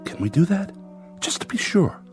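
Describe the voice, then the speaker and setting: An actor playing a blunt, practical but concerned husband in a radio drama, reasoning and persuasive. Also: male voice, high voice, big pitch swings, moderate pace. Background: music.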